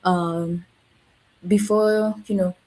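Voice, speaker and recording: A woman's voice: on the low side, fairly even intonation, drawn-out words, a little mellow, low-quality recording. A woman from Kenya, a language educator, speaking as a guest in a live online conversation and searching for words with many fillers. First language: not Swahili. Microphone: video call.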